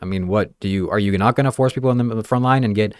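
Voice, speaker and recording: A man's voice: on the low side, rapid-fire, no room sound. Young man, from Ukraine, casual podcast chat, sarcastic, dry close sound. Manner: joking, sarcastic asides. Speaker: podcast host.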